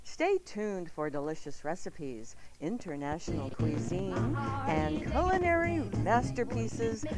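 A woman's voice: medium pitch, very animated, unhurried, low-quality recording. A woman hosting a live radio show in a playful, chatty manner. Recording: music bed behind 1990s radio broadcast. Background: music.

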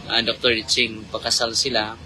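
Man, priest, casual live chat, laughs a lot, bright tense voice, faint road hum. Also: on the low side, flat delivery, brisk, rough recording. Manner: chatty, laughs often, switches between Tagalog and Cebuano. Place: inside a car in traffic at night. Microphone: phone held at arm's length.

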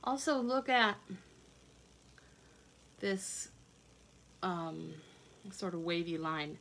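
A woman in her thirties reading a picture book aloud and explaining it to children in simple, expressive, teacherly phrases. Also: fairly low pitch, very slow. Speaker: children's read-along teacher. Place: indoors at home, kitchen and a room.

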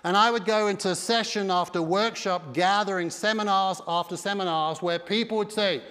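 A man's voice: high-pitched, bright, forceful tone. A middle-aged man preaching a sermon with emphatic delivery on a church stage. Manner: emphatic preaching with broad hand gestures. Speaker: pastor. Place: large dark church stage. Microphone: headset mic. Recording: long reverb of a big hall.

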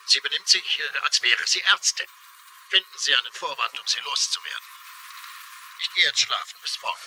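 Ein Schauspieler spielt einen geschäftsmäßigen Arzt, der in einem Krimi knappe Anweisungen auf einen Anrufbeantworter spricht, als Bandwiedergabe. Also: male voice, fairly high pitch, moderate pace, bright, forceful tone, husky, exaggerated voice. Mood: businesslike. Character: businesslike male doctor dictating curt instructions. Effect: answering-machine tape playback. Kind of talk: dubbed crime drama answering-machine message. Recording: tinny, noisy answering-machine tape.

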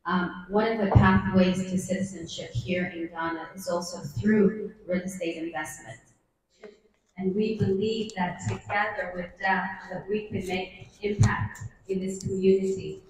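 A woman's voice: mid-range voice, steady tone, unhurried, darkish tone, slight background noise. A woman who runs a real estate firm, standing with a handheld mic and giving an earnest, formal speech in a room lined with bookshelves. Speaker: real estate company CEO. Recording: roomy hall, picked up from far off.

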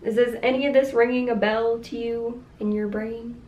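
A woman's voice: a little high, fairly even intonation, moderate pace, darkish tone. Woman, twenties, casual skit chat, awkward and hesitant, echoey room, light background noise. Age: 20s. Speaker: ASMR video creator acting out a skit. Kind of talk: casual conversational comedy skit.

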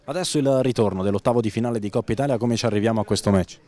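A man interviewing players after a match, asking leading questions with pauses and fillers. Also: on the low side, warm tone. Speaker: sideline interviewer.

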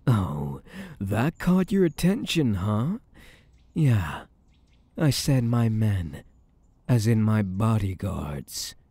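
Man playing a smooth-talking, dark-voiced possessive mafia boss. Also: lowish voice, big pitch swings, slow, no echo, exaggerated voice. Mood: menacing, mocking. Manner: teasing, drawling, menacingly sweet with pet names. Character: smug, possessive, flirtatious male mafia boss. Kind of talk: intimate ASMR roleplay acting.

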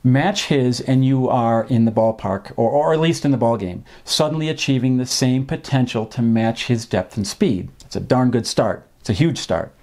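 Middle-aged man explaining in measured phrases. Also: medium pitch, darkish tone. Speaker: fishing instructor and presenter. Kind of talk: informal instructional talk to camera. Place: small home office, indoors.

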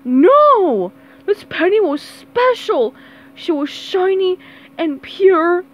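A woman's voice: extremely high pitch, sing-song, slow, exaggerated voice, poor audio. A woman voicing a melodramatic old miserly male crab in an amateur comic dub, wailing and overwrought. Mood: upset.

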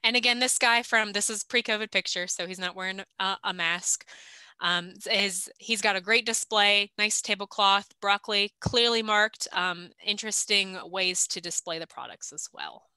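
A woman's voice: mid-range voice, moderate pace, pushed and bright, clean tone, no room sound, low-quality recording. A young woman giving a practical, conversational training presentation over a video call, with frequent filler "um"s. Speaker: extension agent giving vendor training. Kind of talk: recorded online training presentation over slides. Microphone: video call webcam.

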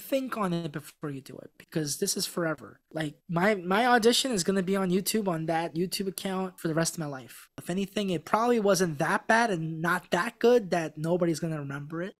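A man's voice: sits high, brisk, hardly any pauses. A young man of Korean background, a podcast guest, telling a story in casual talk full of restarts, close to a studio mic in a small room. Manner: animated storytelling with many restarts. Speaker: podcast guest, former contestant. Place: small room with a gray backdrop. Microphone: studio mic on a stand in front.

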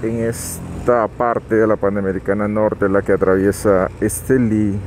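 A man calmly narrating a motorcycle vlog while riding, giving unhurried advice with some restarts. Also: lowish voice. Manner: calm, advising, unhurried with restarts. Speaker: motovlogger. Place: riding a motorcycle on a highway outdoors. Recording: road and wind noise.

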